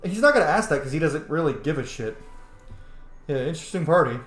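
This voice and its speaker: Man, casual game commentary, chatty, lively pitch, echoey room. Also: fast, soft background music. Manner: chatty, reactive, thinking aloud. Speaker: gaming streamer. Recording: roomy echo.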